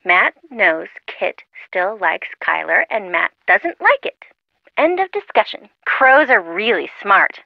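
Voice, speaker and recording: A woman's voice: medium pitch, slow pace, vocal fry, no echo. Fussy, girly young woman on the phone. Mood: curious, chatty. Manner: expressive, fussy, chiding. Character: girly, sentimental, exasperated young woman, bride-minded best friend. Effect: telephone filter. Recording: muffled, lo-fi phone-call sound.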